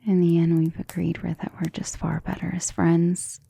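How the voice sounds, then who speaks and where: A woman voicing a centuries-old vampire lover in an ASMR romantic roleplay, soft, breathy and often whispering, intimate and gentle, with formal wording. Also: lowish voice, completely flat delivery, normal speed, no room sound, full character voice. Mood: wistful. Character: centuries-old female vampire lover, tender, protective, self-assured.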